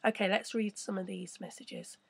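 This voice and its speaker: A woman hosting a casual live stream, chatting and rambling with a croaky voice. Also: mid-range voice, steady tone, normal speed. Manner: chatty, rambling, reads viewer messages. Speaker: crypto channel host.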